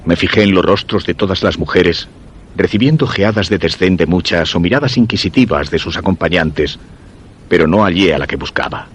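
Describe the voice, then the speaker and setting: An actor from radio theatre playing an obsessed, lovelorn commuter who narrates his search in brooding, deliberate Castilian Spanish. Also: sits low, a little hiss or hum, close to an everyday voice. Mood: anxious, obsessed. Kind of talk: dramatic first-person radio theatre narration.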